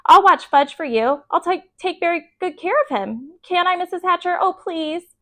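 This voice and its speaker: Pushy, pleading little know-it-all girl acted by a woman for a storybook, high and sing-song. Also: lively intonation, normal speed, a bit bright. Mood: eager pleading.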